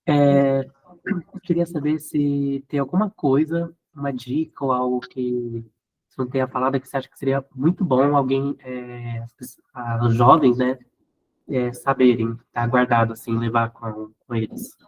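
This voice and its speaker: A man's voice: medium pitch, level tone, moderate pace, lo-fi sound. A Brazilian man asking a casual question from the audience, hesitant and apologetic as he searches for his words. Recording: voice far from the mic.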